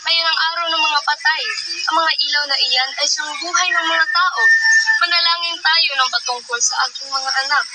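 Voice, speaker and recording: A woman's voice: high-pitched, steady tone, natural voice, low-quality recording. A woman playing a distraught madwoman mother in a puppet show, pleading and repeating herself as she rambles about her children. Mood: pleading, eerie. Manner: pleading, repetitive, drawn-out. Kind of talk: puppet show dramatic acting. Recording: music playing behind the voice.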